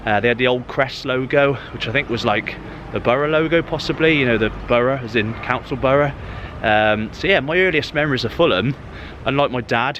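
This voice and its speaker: A man's voice: mid-range voice, fairly bright tone. A middle-aged English man with an English accent, chatting enthusiastically and rambling a little as he walks along a river on a cold morning. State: cold, stiff-mouthed. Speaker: football vlogger. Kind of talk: casual walking vlog. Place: outdoors by a river in a city. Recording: faint outdoor street noise. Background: faint traffic and city ambience.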